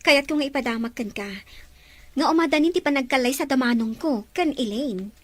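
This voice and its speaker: Woman acting a very high-pitched chatty acquaintance. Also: brisk. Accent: Ilocano Filipino. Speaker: actress in an Ilocano drama. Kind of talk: acted family drama dialogue.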